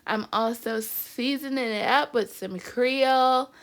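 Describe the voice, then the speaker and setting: A woman's voice: fairly high pitch, takes it slowly, fairly bright tone. Congested woman hosting a cooking vlog. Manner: chatty and upbeat, says "y'all" often. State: congested, stuffy nose. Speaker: cooking channel host. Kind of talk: casual cooking vlog narration.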